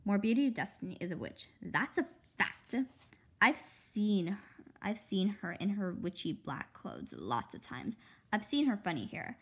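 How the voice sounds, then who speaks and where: Woman voicing an imaginative, husky little girl. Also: medium pitch, sing-song, measured pace, heavily acted. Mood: confiding, suspicious. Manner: dramatic, emphatic. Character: imaginative, anxious, insistent little girl narrator. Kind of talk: children's book read-aloud.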